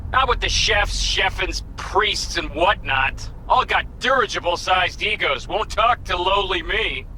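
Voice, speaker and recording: A high, sharp-voiced flamboyant fixer. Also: male voice, expressive, measured pace, slight background noise, heavily acted. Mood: chatty, colourful. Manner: theatrical, garrulous, slangy. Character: flamboyant, wheeling-dealing underworld fixer man. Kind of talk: animated video game acting.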